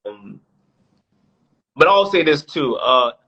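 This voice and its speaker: A man's voice: on the high side, animated, slow pace, sharp tone, husky. A man in his late twenties, a podcast guest talking casually with lots of fillers and restarts. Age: 20s. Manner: rambling, many fillers and restarts. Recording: dull lo-fi sound.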